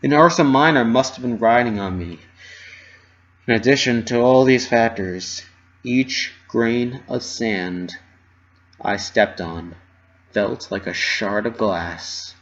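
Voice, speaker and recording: A man narrating a first-person fan fiction story with an expressive, dramatic delivery. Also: medium pitch, slow, lo-fi sound.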